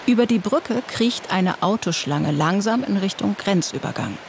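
A reporter reading scripted radio feature narration in measured, terse phrases. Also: mid-range voice, expressive, slight background noise.

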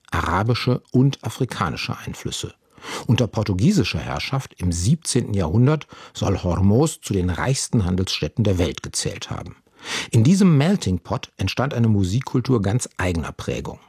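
A man reading a scripted radio culture feature in a measured storytelling tone, close to the mic. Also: on the low side, darkish tone, no room sound. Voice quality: husky. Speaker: radio reporter.

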